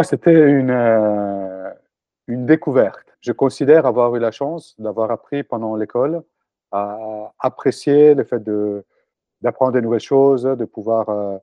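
A man's voice: mid-range voice, a little slow, no echo. Italian man in his forties, entrepreneur guest; dry, slightly dull recording. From Italy. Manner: hesitant, frequent fillers and restarts. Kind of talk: casual interview answers.

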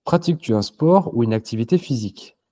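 A man reading scripted interview questions at an even pace. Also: mid-range voice, brisk.

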